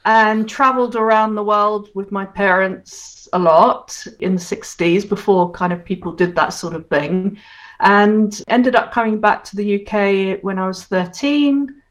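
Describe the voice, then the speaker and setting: A woman in her sixties from Hong Kong, chatting as a guest in an interview and reminiscing about her career. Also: mid-range voice. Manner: chatty, reminiscing, quick to laugh. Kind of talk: casual interview.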